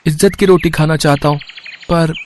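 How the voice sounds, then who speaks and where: A man's voice: fairly high pitch, steady tone, normal speed, darkish tone, close to an everyday voice. A man voicing a poor, humble young beggar, deferential and earnest, in a moral story. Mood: pleading. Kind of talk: Hindi moral story voice acting.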